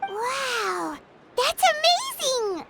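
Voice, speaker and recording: A woman voicing a small, chatty, excitable childlike fairy companion in a video game, with exclamations and self-naming in the third person. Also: extremely high pitch, extremely slow, vocal fry, full character voice. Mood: amazed. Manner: refers to self by name, exclamatory. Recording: loud music behind. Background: loud game music.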